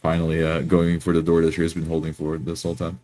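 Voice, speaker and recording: A man improvising first-person narration as a tabletop roleplay player, speaking in a halting, hesitant way. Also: low-pitched, monotone, mellow, a little hiss or hum.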